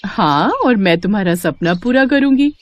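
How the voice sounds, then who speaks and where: A woman plays a young fairy from a storybook. She sounds kindly and confident, with a very lively rise and fall in her speech. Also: mid-range voice, moderate pace, lo-fi sound, slight background noise, lightly acted.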